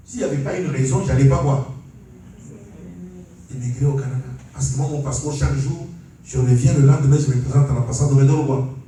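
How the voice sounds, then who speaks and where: A man's voice: medium pitch, mellow, slight background noise. A man from Congo preaching a sermon and telling his testimony, calling on the congregation to say amen. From Congo (DRC). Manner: calls for amens, laughs while preaching. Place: large church hall. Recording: echoey hall from far off.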